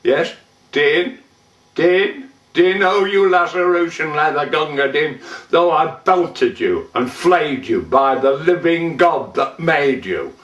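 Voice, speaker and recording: A man performing a dramatic poem as a gruff, sentimental British colonial soldier, reciting with theatrical, deliberate delivery. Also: fairly high pitch, animated, lo-fi sound. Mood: impassioned. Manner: theatrical, deliberate, with shouted calls and drawn-out phrases. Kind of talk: dramatic poetry recitation, monologue. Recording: echoey room, distant microphone.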